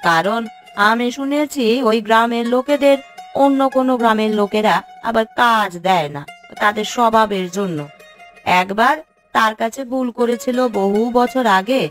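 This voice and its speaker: A woman's voice: a little high, normal speed, soft background music, close to an everyday voice. A woman playing a concerned village housewife who talks solemnly and with sympathy in a cartoon fairy tale. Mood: grave, gossiping. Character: concerned village housewife gossiping gravely about neighbours.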